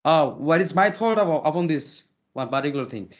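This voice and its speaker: A man from Odisha with an Indian English accent, speaking politely as a guest, repeating words and filling pauses. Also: fairly high pitch, expressive, highs cut off. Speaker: interview guest, English learner. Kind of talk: casual conversational interview.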